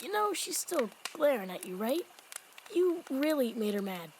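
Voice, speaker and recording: A woman voicing a cheeky, excitable young fox demon boy with a childlike voice in an anime-style audio drama. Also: moderate pace, a little hiss or hum. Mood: indignant scolding. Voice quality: childlike, squeaky.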